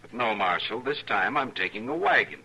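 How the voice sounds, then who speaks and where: An actor playing a naive, stubborn little scholar in an old-time radio western, speaking politely, formally and precisely. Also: male voice, lowish voice, sing-song, brisk, sharp tone, exaggerated voice, rough recording. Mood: stubborn, assured.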